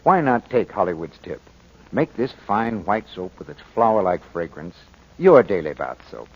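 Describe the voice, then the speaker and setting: Low, husky, animated male radio announcer. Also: normal speed. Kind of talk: 1940s radio announcing and advertising. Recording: muffled 1940s radio broadcast recording.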